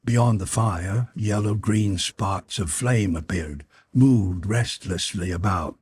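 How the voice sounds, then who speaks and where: A man's voice: fairly low pitch, takes it slowly, darkish tone, breathy edge. A man narrating an audiobook in a scripted literary storytelling style.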